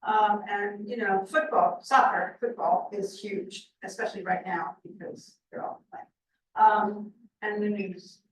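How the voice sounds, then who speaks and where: An older woman answering questions on a video call in a chatty way, laughing often and trailing off softly. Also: medium pitch, fairly even intonation, unhurried. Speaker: presenter. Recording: echoey room from far off, faint noise.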